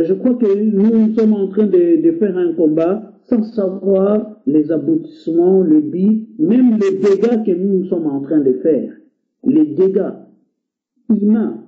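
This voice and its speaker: A man from Congo, not a native French speaker, earnestly exhorting listeners on a call-in show with frequent hesitations. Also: high-pitched. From Democratic Republic of the Congo. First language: Lingala or another Congolese language. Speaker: guest speaker. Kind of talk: impassioned call-in talk. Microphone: internet call. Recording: tinny phone-like line.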